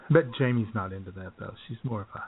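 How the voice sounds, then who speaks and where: A man co-hosting a casual comedy podcast, wry and self-described cynical, often clearing his throat. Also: on the low side, a little fast, a little hiss or hum. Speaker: podcast co-host. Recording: tinny phone-like audio.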